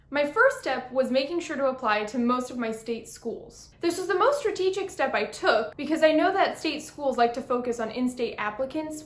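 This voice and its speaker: A young woman from Brazil with a high, bright voice, upbeat and a little playful as she gives advice from a script. The room around her rings with a noticeable echo. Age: young adult. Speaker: vlogger.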